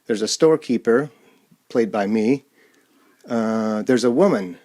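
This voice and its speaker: Low-voiced man, halting casual host. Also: dark tone. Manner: halting, off-the-cuff. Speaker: host and amateur radio-play performer. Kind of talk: casual introduction to an audience.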